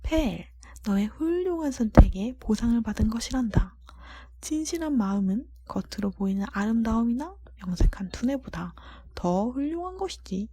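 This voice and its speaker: A woman voicing a stern but rewarding storybook fairy in a firm, breathy whisper. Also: a little high, expressive, normal speed, dark tone. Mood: approving.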